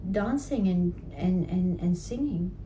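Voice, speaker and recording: A woman guiding a client through a healing session in a warm, mellow voice. She encourages the client and keeps checking in with a quick "right?" The sound is roomy and echoey, with a faint rumble behind her. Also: lively intonation. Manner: encouraging, repeats "right?" and "guess what". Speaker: healing practitioner. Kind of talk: coaching session.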